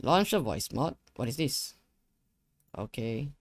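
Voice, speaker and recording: A young man giving a casual software tutorial, talking himself through each step in an informal, rambling way. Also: medium pitch, big pitch swings, slow, no room sound. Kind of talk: casual screen-share tutorial. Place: indoor living room gaming setup. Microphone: close desktop USB microphone.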